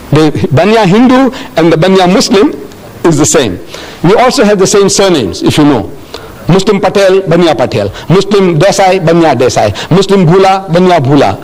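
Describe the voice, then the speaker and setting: Older male lecturer from South Africa, animated storyteller with a bright, swooping voice. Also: on the high side, low-quality recording. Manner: animated, storytelling with acted-out dialogue. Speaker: Islamic lecturer answering audience questions. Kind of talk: lecture Q&A with audience.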